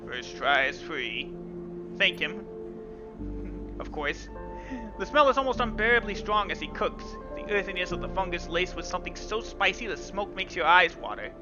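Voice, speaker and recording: A man's voice: high-pitched, moderate pace. A man hosting a gaming video, reading game text dramatically with casual asides. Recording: dull lo-fi sound with game music.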